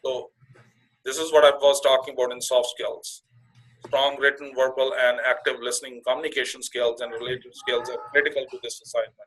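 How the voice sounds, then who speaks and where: Halting man giving an informal walkthrough. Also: mid-range voice, level tone, fairly bright tone, a little hiss or hum, poor audio. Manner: halting, often repeats and restarts phrases. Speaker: career coach presenting to conference call members.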